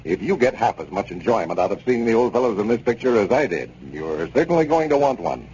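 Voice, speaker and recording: Lively American 1930s radio announcer, male. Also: lowish voice, fast talker, breathy edge, highs cut off. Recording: noisy, band-limited 1930s radio broadcast.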